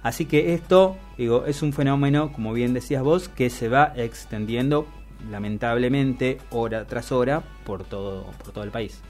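Halting Argentine radio man over music. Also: medium pitch, a little mellow. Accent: Argentine Spanish. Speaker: radio co-host. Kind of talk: news commentary on radio. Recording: music playing behind the voice.

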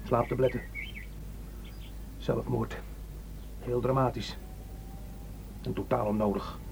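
An actor playing a bitter, defiant young son in a Dutch radio play, scornful and curt. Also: male voice, medium pitch, steady tone, slow pace, vocal fry. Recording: dull, faintly noisy old radio recording.

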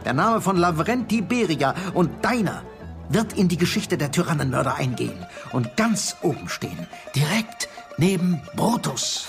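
An actor playing a boastful, seductive and fanatical Soviet security chief in a radio drama, theatrical in manner. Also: male voice, exaggerated voice. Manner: theatrical, swinging from booming to hushed.

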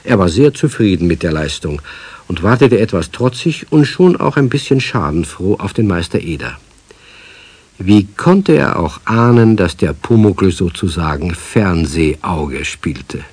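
A man as the narrator of a children's radio play, telling the story in a husky voice. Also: sits low, expressive, measured pace, darkish tone, no echo, heavily acted. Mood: storytelling. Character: male storyteller of a children's tale.

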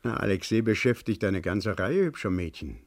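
A examining magistrate in a radio crime play, low in pitch and recorded dry. He speaks formally, with measured composure. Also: animated, dry recording. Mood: composed. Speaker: actor. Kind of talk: German radio crime drama acting.

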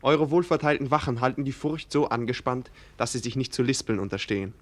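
Casting: man playing obedient young aristocrat, medium-pitched, earnest and formal, vintage radio drama. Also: a little fast, natural voice. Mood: earnest, open. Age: young adult. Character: dutiful, open-hearted young nobleman, obedient son. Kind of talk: 1940s German radio play acting.

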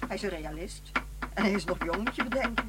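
A woman playing a brisk, unsentimental middle-aged ex-wife in a radio drama, matter-of-fact and dismissive. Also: mid-range voice, expressive, heavy background noise, close to an everyday voice.

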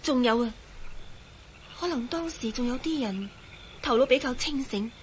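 An actress playing a composed, brooding, resigned young woman in a Cantonese radio drama, with a Hong Kong accent and measured delivery. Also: high-pitched, expressive, a little hiss or hum. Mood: grim, composed. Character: eerily composed, resigned young woman turned invisible skeleton.

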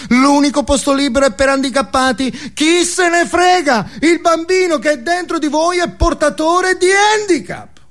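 A man's voice: very high-pitched, voice pushed up. A man playing a brash, cynical self-help guru in a comic stage monologue, exhorting his listeners emphatically with rhetorical questions. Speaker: stage actor. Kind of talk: theatrical comic monologue as motivational speech.

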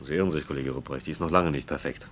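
An actor playing an exacting, self-assured factory engineer in a radio play, speaking formally and curtly. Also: male voice, rumbling low, rapid-fire, slight background noise. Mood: matter-of-fact. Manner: matter-of-fact, formal, curt. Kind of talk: German radio play acting.

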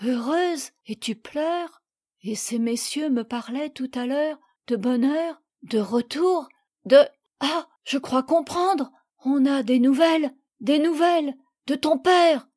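A woman's voice: high voice, animated, slow pace, mellow, full character voice. A woman voicing an anxious, emotional wife and mother, urgent and imploring, in a storybook reading. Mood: dawning hope.

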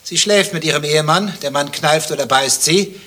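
A man's voice: a little high, normal speed, pushed and bright. An actor playing a sardonic, probing male skeptic in a radio play, questioning with dry sarcasm. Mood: insistent, accusing. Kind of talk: German radio play dialogue acting.

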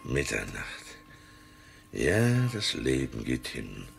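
Suggestive old-world count, very deep voice, swooping intonation, theatrical, radio drama. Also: male voice, huge pitch swings, slow pace, vocal fry, soft background music, full character voice. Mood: mellow, suggestive. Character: jovial, worldly aristocratic count, gallant and suggestive. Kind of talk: 1970s German radio play acting.

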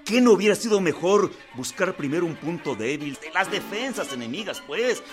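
An actor playing a boisterous Mexican revolutionary soldier in a radio drama, exclamatory and repeating words insistently. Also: male voice, high-pitched, sing-song, moderate pace, soft background music. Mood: firm, rallying. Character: boisterous revolutionary soldier of Villa's army, male, rallying comrades.

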